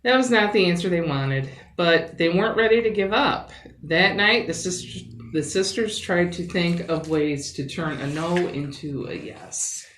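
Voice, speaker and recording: Animated woman reading a children's story. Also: lowish voice, slight background noise. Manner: animated, dramatic pauses and emphasis. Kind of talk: expressive read-aloud of a picture book. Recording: roomy, echoing sound.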